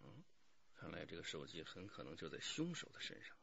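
An actor playing a businesslike male police detective in a radio drama, asking probing questions in a measured way. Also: low-pitched, sing-song, gravelly, sharp tone, slightly rough, dry recording, muffled recording, full character voice. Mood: probing, serious.

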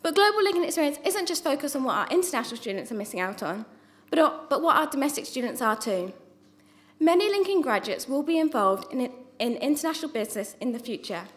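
Young woman giving a prepared speech, high voice. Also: very animated, fast. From not from New Zealand. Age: young adult. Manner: earnest, with small restarts on words. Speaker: student presenter. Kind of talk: prepared speech read from notes. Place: university lecture theatre. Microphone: microphone on a stand.

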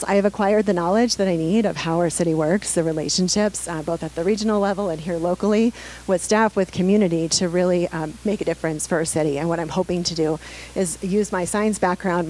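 A woman's voice: fairly low pitch, darkish tone, slight background noise, rough recording. A woman in her forties, a council member running for mayor, giving an earnest prepared speech from notes into a handheld mic in a library meeting room. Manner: projecting, earnest, reads from notes. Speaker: city councilwoman and mayoral candidate. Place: library meeting room, standing before seated audience.

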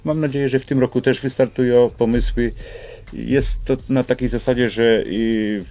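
An older man explains things as a guest in a radio interview, with halting phrasing, on a studio microphone. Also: mid-range voice, fairly even intonation, mellow. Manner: halting, hesitant phrasing with repeated starts. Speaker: school representative, radio guest. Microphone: studio microphone on boom arm.

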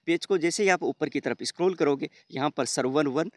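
A man's voice: fairly high pitch, a little fast, dry recording. A man narrating a screen-recorded how-to tutorial, walking viewers through each step with many repeated fillers. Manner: step-by-step, repetitive fillers, addresses viewers as friends. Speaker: tech tutorial host.

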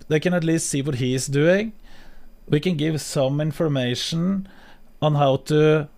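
Slow-talking Norwegian man streaming. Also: fairly high pitch, vocal fry. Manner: slow, hesitant, many fillers and restarts. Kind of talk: casual live-stream chat. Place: home streaming room. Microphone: studio mic on boom arm, headphones.

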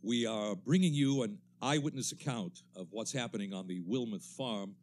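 An actor playing a composed, formal studio announcer in a 1930s-style radio drama, measured with slight hesitations. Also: male voice, lowish voice, over loud music, natural voice. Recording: faint hum and noise.

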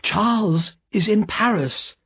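A man's voice: high-pitched, slow pace, husky, no room sound, highs cut off, voice pushed up, heavily acted. A man voicing a distraught young wife in a storybook reading. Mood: distressed.

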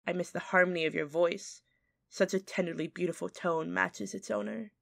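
A woman plays an adoring admirer reading out a romantic letter for an ASMR roleplay. The voice is lowish and creaky, evenly measured, recorded close and dry. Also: fairly even intonation, no room sound. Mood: tender, adoring. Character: tender, adoring secret admirer reciting a love letter. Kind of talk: ASMR roleplay, poetic recitation.

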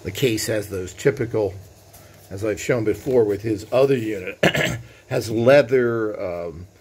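Man, lowish pitch, informal explainer, rambling hands-on demo, handheld camera mic, noticeable background noise. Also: a little slow, a little mellow. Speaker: host of a vintage equipment video channel. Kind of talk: informal hands-on product walkthrough. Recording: clear background noise, room ambience.

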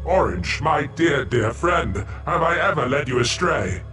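A man's voice: on the high side, lively intonation, unhurried, pushed and bright. A man voicing a grave, guilt-ridden mad scientist creature in a cartoon, with dramatic, formal delivery. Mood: pleading, sly. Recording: music behind the voice.

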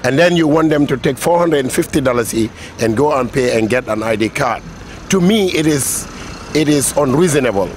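A man giving a firm, deliberate opinion to a street interviewer outdoors. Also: medium pitch, lively intonation, slight background noise. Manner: argumentative, deliberate. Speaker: passer-by interviewee. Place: roadside in a city, outdoors. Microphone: handheld mic held by interviewer.

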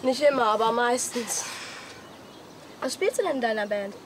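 A woman's voice: on the high side, animated, normal speed, noisy background, natural voice. An actress playing a cool, bored, teasing teenage girl in a radio play, dry and sardonic. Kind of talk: German radio play acting.

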